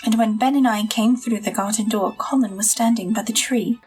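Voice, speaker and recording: Woman as an earnest girl storyteller, fairly high voice. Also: fairly even intonation, normal speed, a little mellow, soft background music, natural voice. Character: earnest young girl telling her own story. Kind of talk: scripted audio drama narration.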